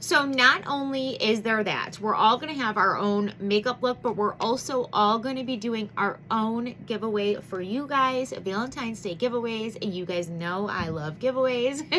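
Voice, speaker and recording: A congested, nasal-sounding woman in her thirties gushes and rambles through a chatty beauty vlog intro. Her voice is bright and forward. A faint low rumble sits under the recording. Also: moderate pace, hardly any pauses. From Michigan. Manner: bubbly, gushing, rambling with many fillers. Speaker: beauty vlogger.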